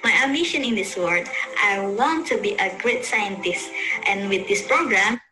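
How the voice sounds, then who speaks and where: Bright-voiced woman in an echoey room. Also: mid-range voice, expressive, normal speed, soft background music. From Indonesia. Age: young adult. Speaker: university student.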